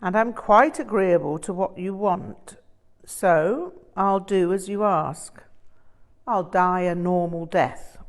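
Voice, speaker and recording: A woman's voice: on the low side, slow. A woman voicing an agreeable, dying male Buddhist master in a storybook reading, easygoing and matter-of-fact. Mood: easygoing, accepting.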